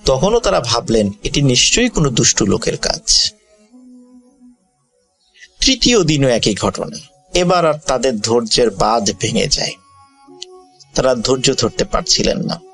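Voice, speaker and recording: A man tells a ghost story with faint music behind him. His voice is creaky and moves expressively up and down, while his wording stays measured and formal. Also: mid-range voice, big pitch swings, fairly bright tone. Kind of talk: ghost story narration for listeners.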